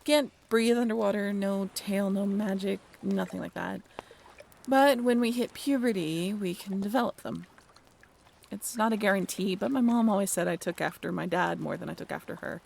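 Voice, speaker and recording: An actress plays a young half-mermaid woman in an intimate audio roleplay. Her voice sits at a middle pitch with plenty of creak, and she talks casually and haltingly, wry and a little bashful. Faint watery ambience runs behind her. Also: a little hiss or hum, close to an everyday voice. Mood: matter-of-fact, open. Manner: casual, halting, softly self-deprecating. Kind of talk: intimate audio roleplay, one-sided conversation.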